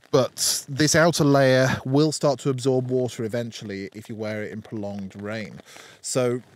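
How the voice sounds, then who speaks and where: A British man giving an informal gear review to camera in a measured, explanatory way. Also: mid-range voice, darkish tone. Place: outdoors in damp woodland, under a tarp and by a campfire.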